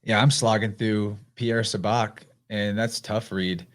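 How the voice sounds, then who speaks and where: A man with a fairly low voice hosts a live podcast in easy, casual conversation. He talks in a relaxed way and reads listener comments aloud between thoughts. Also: a little slow. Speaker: podcast host.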